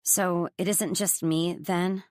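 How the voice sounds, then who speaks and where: A woman's voice: lowish voice, steady tone, slow, darkish tone, no echo, clean studio sound. A woman voicing a polite, inquisitive young newcomer in a romance audiobook, apologetic and questioning. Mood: curious.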